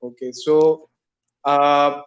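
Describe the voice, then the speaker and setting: A man's voice: a little high, level tone, extremely slow, muffled recording, a little hiss or hum. A man hosting a casual video-call conversation to practise English, asking short, careful questions. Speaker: host, English learner.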